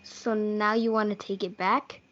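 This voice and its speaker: A woman's voice: mid-range voice, little pitch movement, measured pace, a bit bright, natural voice. A young woman as a lovestruck youth, lo-fi. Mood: smitten. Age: teenager. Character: earnest lovestruck young man, Romeo. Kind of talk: amateur reader's theatre. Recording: dull lo-fi audio.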